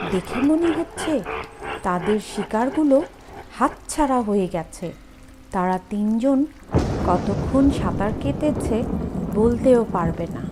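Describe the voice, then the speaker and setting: A woman narrating a horror story in a suspenseful, drawn-out way with dramatic pauses. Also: fairly high pitch, noisy background.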